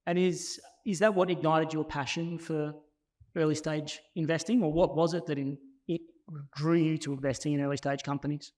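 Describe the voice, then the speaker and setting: A man with a slightly high voice works as interviewer at a live event. He frames his questions conversationally, as a host would on stage. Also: little pitch movement, normal speed, darkish tone.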